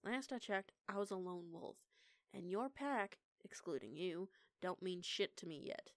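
A woman's voice: on the low side, animated, dry recording, studio quality. A young woman playing a defiant eighteen-year-old punk lone-wolf werewolf in an audio roleplay, with a casual, sweary, sarcastic manner. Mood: irritated, defensive. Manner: sweary, casual, sarcastic, halting when flustered. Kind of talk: intimate one-sided audio roleplay acting.

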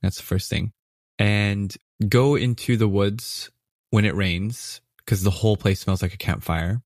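A man's voice: fairly low pitch, fairly even intonation, measured pace, no room sound, clean studio sound. A man from New Mexico with an American accent, chatting casually as a podcast guest. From New Mexico, United States. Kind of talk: casual chat.

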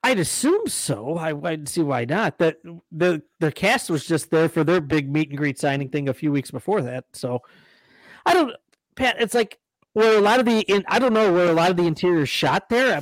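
A man's voice: on the high side, animated, fairly quick, dry recording. A man co-hosting a podcast, telling stories in a rambling casual way. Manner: rambling storyteller, lots of restarts and laughs. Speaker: podcast co-host. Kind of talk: casual chat.

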